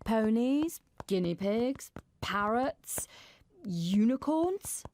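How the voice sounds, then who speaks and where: A woman's voice: fairly high pitch, lively intonation, drawn-out words, darkish tone, a little hiss or hum, heavily acted. A woman voicing a confident, pushy, tech-loving young girl in a children's storybook, bossy and showing off.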